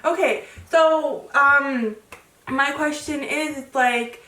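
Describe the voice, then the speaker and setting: A woman's voice: high-pitched, extremely slow, bright, forceful tone. A young woman presenting and interviewing informally as a student, in a conversational, checking-in way. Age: young adult. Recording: echoey room, distant microphone.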